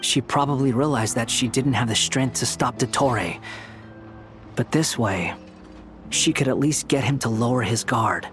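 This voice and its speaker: Actor as an aloof, sardonic young wanderer. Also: male voice, mid-range voice, a little slow, a bit bright, soft background music, natural voice. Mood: reflective. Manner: blunt, dry, reflective. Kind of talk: dramatic video game dialogue.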